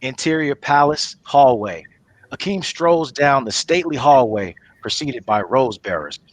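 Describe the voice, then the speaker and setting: A man's voice: mid-range voice, expressive, measured pace, dry recording, low-quality recording. A man hosting and narrating a script reading in a casual, conversational way. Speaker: host and narrator.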